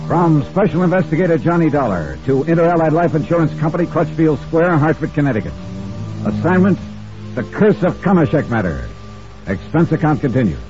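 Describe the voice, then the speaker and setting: An American actor playing a hard-boiled insurance investigator who narrates in a wry, clipped, conversational way, in 1950s radio detective style. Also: male voice, on the high side, very animated, brisk. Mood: wry, matter-of-fact. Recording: dull vintage radio recording with music.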